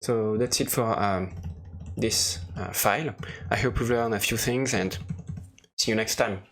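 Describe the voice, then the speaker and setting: Gravelly-voiced man giving a halting tutorial. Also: lowish voice, fairly bright tone. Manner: hesitant, halting delivery in short phrases. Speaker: software tutorial presenter. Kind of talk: unscripted screen-recorded tutorial walkthrough. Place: voice-over for a screen recording.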